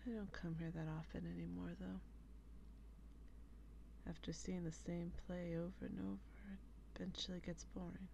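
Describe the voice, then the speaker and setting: A woman playing a teasing, wistful female vampire in a breathy, deliberate ASMR role play. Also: on the low side, flat delivery, warm tone, lo-fi sound, a little hiss or hum. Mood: reflective. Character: female vampire, teasing, wistful, intimately affectionate theater haunter.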